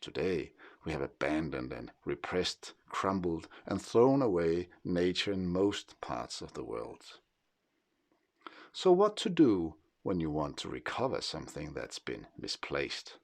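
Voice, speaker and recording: Very deep gravelly man with a Danish accent. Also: big pitch swings. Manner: measured, contemplative. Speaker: essayist narrator. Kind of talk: reflective scripted narration.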